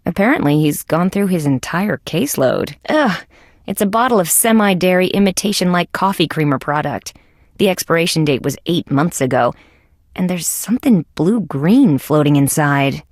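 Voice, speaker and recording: Young lady detective from a video game, sardonic and tough, kinda low voice, dry studio sound. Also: creaky, no echo. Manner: sardonic, wisecracking. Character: wry, tough young female insect police detective. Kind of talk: video game character lines.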